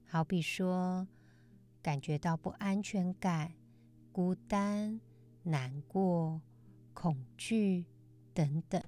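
Slow, low, mellow-voiced woman podcast host. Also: faint music behind. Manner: measured, gentle phrasing with frequent pauses. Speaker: host of a meditation and Buddhist teaching podcast. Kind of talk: calm scripted mindfulness talk.

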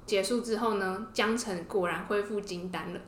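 A woman's voice: mid-range voice, fairly even intonation, normal speed, slight background noise. A woman with a Taiwanese Mandarin accent hosting a podcast, telling and explaining a story in a casual way. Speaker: podcast host. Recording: roomy, echoing podcast room.

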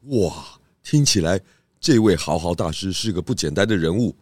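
A man playing a curious, easily impressed fellow in a children's audio drama, with a husky voice. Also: sits low, lively intonation, normal speed, a little mellow, no room sound.

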